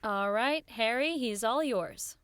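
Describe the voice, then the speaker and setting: A woman playing an uneasy radio producer who recalls eerie events in measured, dramatic audio drama narration. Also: fairly high pitch, fairly bright tone, clear, resonant tone, dry recording, studio quality. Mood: brisk, businesslike. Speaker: narrator and radio show director. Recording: violin music behind the voice.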